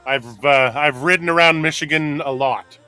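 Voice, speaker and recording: Male gamer, bright and forward voice, on the high side, chatty. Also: clean tone, no room sound, faint music behind. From Canada. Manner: asks questions, quick to laugh. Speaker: co-player on stream. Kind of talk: casual voice chat.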